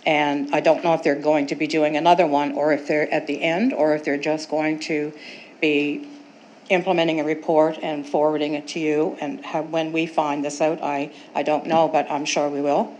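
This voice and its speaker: A woman with a notably low voice speaks at a podium microphone in a council chamber. She talks chattily and gratefully, rambling with fillers, over faint room noise. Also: little pitch movement, normal speed, a little mellow, low-quality recording. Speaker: resident giving public comment. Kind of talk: public comment at council meeting.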